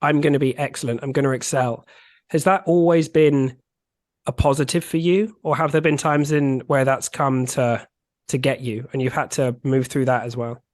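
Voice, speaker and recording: A man's voice: mid-range voice, steady tone, normal speed, no echo, studio quality. A man hosting a podcast, asking thoughtful, searching questions. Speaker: podcast host. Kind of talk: interview questions.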